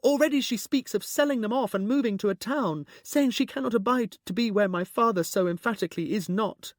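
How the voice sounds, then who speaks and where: Male reader voicing a young woman, high and shaky, grief-stricken but stubborn. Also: expressive, normal speed, dry recording, clean studio sound. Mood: distraught. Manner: high, trembling, pleading.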